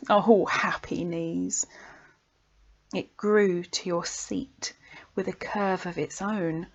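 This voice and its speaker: Woman slowly reciting poetry, dull recording. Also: medium pitch. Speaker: poet reading own poems.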